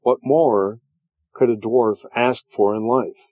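A man narrating a fantasy story as a stout, proud dwarf adventurer, in a measured, dramatic storytelling manner. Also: medium pitch, steady tone, no echo, phone-quality sound. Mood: proud, satisfied. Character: stout, hardy, proud male dwarf adventurer telling his tale. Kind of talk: scripted first-person fantasy story narration.

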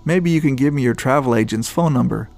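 A man's voice: medium pitch, fast, gravelly, darkish tone, faint music behind, lightly acted. A man voicing a talkative, joking male office coworker. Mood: friendly.

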